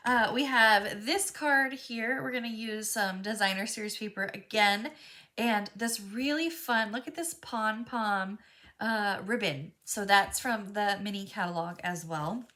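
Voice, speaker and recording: Woman, craft instructor, live class, stuffy froggy voice, bright tone, chatty with ums. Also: medium pitch, unhurried. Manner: chatty, friendly, frequent ums.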